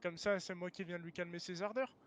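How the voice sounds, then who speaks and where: A man's voice: sits high, steady tone, fast talker, a bit bright. A man arguing casually in online game voice chat, slangy, blunt and defensive. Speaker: game server founder.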